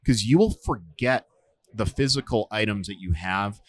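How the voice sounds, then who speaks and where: A man in his forties, a survival instructor, telling stories in a relaxed interview, with lots of fillers and restarts. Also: lowish voice, normal speed. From United States. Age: 40s. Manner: rambling, many fillers and restarts. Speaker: podcast guest, survival instructor. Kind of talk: casual storytelling interview.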